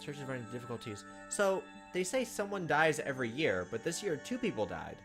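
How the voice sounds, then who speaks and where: A man's voice: mid-range voice, big pitch swings, a little fast. A man reading game text aloud as a let's play host, adding informal, playful commentary. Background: game music.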